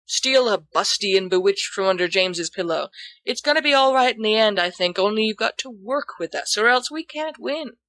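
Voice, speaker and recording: A woman's voice: mid-range voice, lively intonation, moderate pace, a bit bright, no echo. A woman voicing a brash, teasing teenage boy in a theatrical, mocking way, as in an audiobook. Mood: mock solemn teasing. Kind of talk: expressive audiobook character dialogue.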